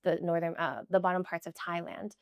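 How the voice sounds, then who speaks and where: Young american woman, chatty and earnest, says like a lot, lowish voice. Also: fairly even intonation, fairly quick, clear, resonant tone, no room sound. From United States. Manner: chatty, many "like" fillers, earnest. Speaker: guest. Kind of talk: casual conversational interview.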